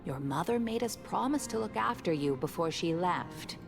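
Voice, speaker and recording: An actress playing a kindly older townswoman in a video game, gentle and fussing like a family friend. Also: fairly low pitch, lively intonation, moderate pace, gravelly. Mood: caring. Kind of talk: scripted video game dialogue. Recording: music behind the voice.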